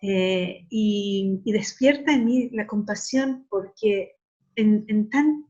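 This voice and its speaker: The audio is a little muffled and lo-fi. A middle-aged woman speaks at an average pitch in a reflective interview style. She is earnest, often restarting phrases as she looks for the exact word. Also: takes it slowly, a little mellow. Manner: earnest, searching for words with repeated starts. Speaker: academic interviewee.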